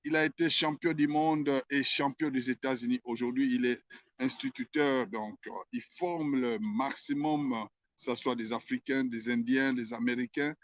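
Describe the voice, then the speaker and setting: A man hosting an interview at a radio station, talking hesitantly with many drawn-out fillers. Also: mid-range voice, fairly even intonation, dry recording. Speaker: interviewer host. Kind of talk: broadcast interview introduction. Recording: dull lo-fi sound.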